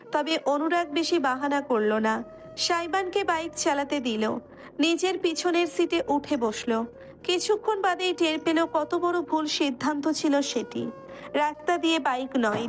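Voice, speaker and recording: A woman narrator reading a romance story as dramatic scripted narration. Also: high-pitched.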